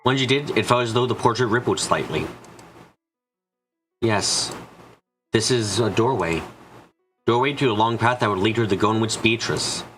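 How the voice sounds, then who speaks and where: A man's voice: lowish voice, moderate pace, long pauses, a bit bright, faint music behind. A man reading scripted story narration as the narrator of a fan-dubbed visual novel.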